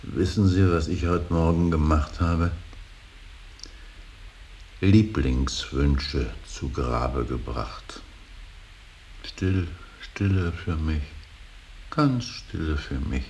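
A stern, brooding old father in mourning for a solemn radio play. His voice is extremely low and gravelly, with halting, weighty phrasing. A faint hiss lies under the recording. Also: darkish tone, exaggerated voice. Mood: weary, grieving. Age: older. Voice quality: very deep, gravelly. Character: grieving old painter, stern, brooding, bitterly devout father. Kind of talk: slow, solemn German stage-style radio drama acting.

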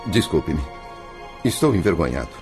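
A man's voice: deep voice, very animated, normal speed, a little mellow. A man playing a humble, weary hospital patient in a radio drama. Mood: ashamed. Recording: music behind the voice.

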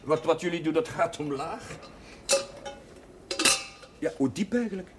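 A man playing a warm, talkative and idealistic young village chaplain in a television drama, hesitant and musing but easily worked up. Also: fairly high pitch, lively intonation, measured pace. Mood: musing, awed. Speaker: actor in a television drama series. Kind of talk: naturalistic television drama dialogue. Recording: noisy old TV soundtrack, clattering dishes.

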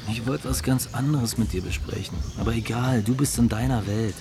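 An actor playing a pushy, condescending acquaintance who scorns and tempts, in a naturalistic radio drama. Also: male voice, mid-range voice, a little fast, music in the background, close to an everyday voice. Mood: exasperated. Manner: needling, condescending.

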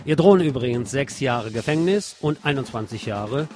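A man presenting scripted radio news commentary as host, wry and deliberate, with emphatic phrasing. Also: medium pitch, animated, over loud music.

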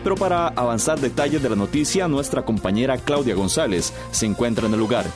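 An actor plays a formal male news anchor in a radio drama. He reads a serious scripted report in a mid-pitched voice, with prominent music running underneath. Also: lively intonation, brisk, natural voice.

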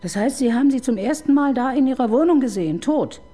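A husky, dry-witted police inspector. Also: female voice, sits high, lively intonation, fast, warm tone. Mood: interrogating. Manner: dry, probing, ironic questioning. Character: sharp skeptical female police inspector. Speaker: detective chief inspector. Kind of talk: radio crime drama acting.

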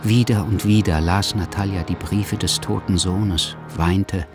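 A man's voice: low voice, fairly even intonation, unhurried, over music. A man giving scripted, literary narration in a radio drama.

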